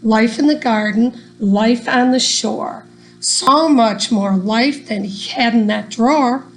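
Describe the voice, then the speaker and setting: A woman reading a rhyming children's story aloud in an expressive, deliberate storytelling manner. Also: a little high, rough recording. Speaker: storybook reader.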